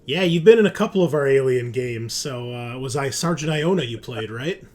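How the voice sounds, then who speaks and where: A man's voice: medium pitch, expressive, moderate pace, darkish tone. A man hosting a tabletop game show, chatting casually and upbeat as he introduces the players. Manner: informal, upbeat, chummy. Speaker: host and game master of a tabletop RPG show.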